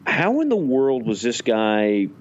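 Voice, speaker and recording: A man's voice: medium pitch, wildly swooping pitch, takes it slowly, lo-fi sound. A man co-hosting a podcast, chatting casually and animatedly with frequent restarts. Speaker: podcast co-host. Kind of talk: casual sports talk.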